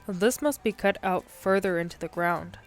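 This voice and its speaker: A woman's voice: mid-range voice, moderate pace, faint music behind, close to an everyday voice. Casting: laid-back male cop partner, read by a woman, wary and muttering, for an audio story. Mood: thoughtful.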